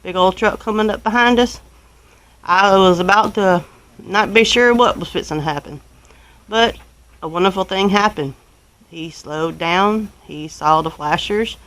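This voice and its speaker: A woman's voice: medium pitch, measured pace, fairly bright tone, poor audio. Folksy Southern American woman telling a story. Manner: folksy, rambling, with country turns of phrase. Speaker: homestead vlogger telling a personal story. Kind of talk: casual spoken storytelling to viewers.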